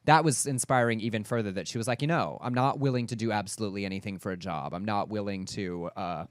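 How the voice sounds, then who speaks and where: A man's voice: fairly low pitch, fast, clean tone, dry recording, studio quality. A man co-hosting a casual talk show, articulate and thoughtful as he recounts stories. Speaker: co-host. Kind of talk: casual chat.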